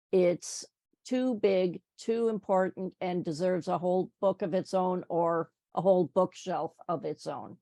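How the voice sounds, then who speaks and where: A woman, a book co-author, talking slowly in casual conversation. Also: medium pitch, creaky, darkish tone, no echo. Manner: slow, with frequent affirming "yeah" and "right". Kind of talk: conversational talk.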